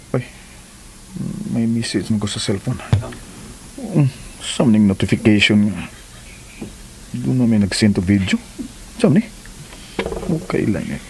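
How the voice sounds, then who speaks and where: A man's voice: lowish voice, background noise. A man acting in a radio drama as a weary working husband, grumbling and muttering.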